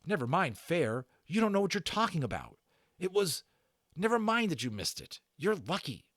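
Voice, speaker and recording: A man plays a shaken young schoolboy in a storybook. He is earnest and stubborn, pressing his point in a raised, animated voice. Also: fairly high pitch, moderate pace, dry recording, clean studio sound, pitched above the performer's own voice. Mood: firm, upset.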